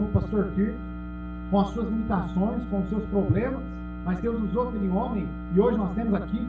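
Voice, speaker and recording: Brazilian preacher in an echoey church. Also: male voice, narrow, tinny audio. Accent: Brazilian Portuguese. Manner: emphatic preaching, calls out to the congregation, drops low and loud on key words. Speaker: pastor. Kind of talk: sermon. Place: church hall. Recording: echoey, from far off, music behind.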